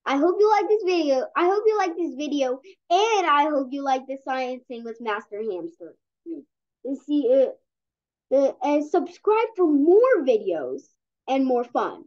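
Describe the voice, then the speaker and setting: Very high child-like voice, lively intonation, halting read-aloud of a comic, dull lo-fi sound. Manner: halting reading, stumbles and repeats words. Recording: dull lo-fi recording.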